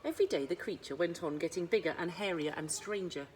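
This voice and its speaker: A woman narrating a children's storybook as a librarian would, with dramatic pauses and emphasis. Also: fairly low pitch, darkish tone, a little hiss or hum, low-quality recording. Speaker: school librarian reading aloud.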